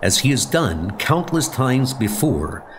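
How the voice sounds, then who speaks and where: A man's voice: medium pitch, big pitch swings, slow pace, slight background noise. A man narrating a scripted comic-book story in a dramatic style.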